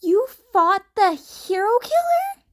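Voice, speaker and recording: A woman voicing an anxious, caring teenage girl in an audiobook-style story, tense and gently scolding. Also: very high-pitched, sing-song, takes it slowly, voice pushed up, heavily acted. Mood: relieved, alarmed.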